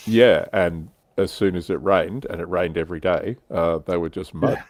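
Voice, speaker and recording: A man with a low voice talks through his subject in a relaxed, conversational way. He hosts a casual livestream discussion, explaining as he goes. The sound is dry, with very little room in it. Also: moderate pace, no room sound. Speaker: livestream host. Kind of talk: casual discussion of military history and tabletop wargaming.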